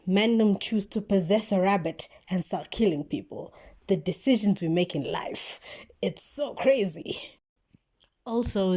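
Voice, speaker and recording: A South African woman with a fairly low, soft-edged and mellow voice gives casual commentary. She rambles chattily with lots of slang, in a playful, tongue-in-cheek manner. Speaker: video creator listing favourite analog horror series.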